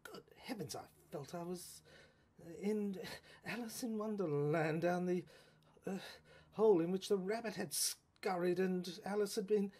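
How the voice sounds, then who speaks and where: A woman narrating a first-person audio drama in a halting, musing way, repeating words and breaking into exclamations. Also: lowish voice, dark tone.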